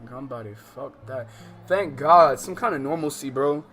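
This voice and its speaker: A man's voice: medium pitch, measured pace, fairly bright tone. A man livestreaming a visual novel, mixing casual, slangy, swearing chat with reading game text aloud, often exasperated. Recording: music behind the voice. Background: game music.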